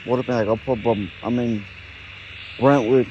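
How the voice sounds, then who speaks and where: A man hosting a video with casual, off-the-cuff commentary, halting and often repeating himself. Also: medium pitch, steady tone, highs cut off, noisy background. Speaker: vlog host.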